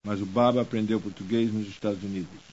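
A man reading language course drills in slow, deliberate, evenly paced sentences. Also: on the low side, fairly even intonation, a little mellow. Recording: dull lo-fi course tape.

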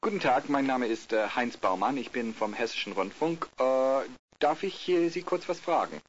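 A man's voice: medium pitch, lively intonation, moderate pace. A man narrating a language course and acting out polite interviews, with many hesitation fillers, on a lo-fi recording. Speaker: course narrator and role-play speaker. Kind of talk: scripted language-course dialogue. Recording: lo-fi tape with faint hiss.

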